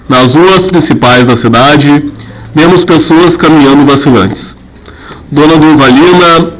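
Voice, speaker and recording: A man's voice: medium pitch, rough recording. A young man playing a formal radio news announcer, reading scripted narration.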